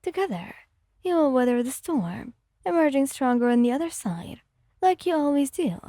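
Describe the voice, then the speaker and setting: A woman's voice: high-pitched, sing-song, normal speed, warm tone, no echo. A woman narrating scripted fan fiction in an ASMR storytelling style, close to the microphone.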